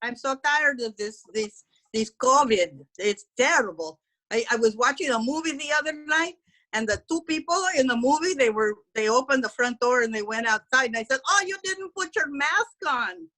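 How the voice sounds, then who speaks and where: A woman's voice: high-pitched, lively intonation, normal speed, bright, forceful tone, full character voice, low-quality recording. A woman voicing a brash, gossipy middle-aged housekeeper, talking bluntly in broken English. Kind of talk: character stand-up comedy.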